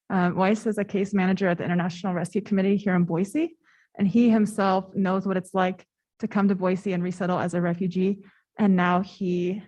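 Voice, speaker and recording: A woman's voice: mid-range voice, darkish tone. A woman on a city council giving appreciative remarks and introducing the next speaker.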